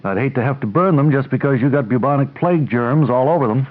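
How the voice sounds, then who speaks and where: A man's voice: medium pitch, fast talker, close to an everyday voice. A man playing a pompous radio doctor, tinny recording. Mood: scolding. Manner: self-important, pedantic, literal-minded. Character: pompous, obtuse young male hospital doctor. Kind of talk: deadpan radio comedy acting. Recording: tinny, band-limited old radio recording.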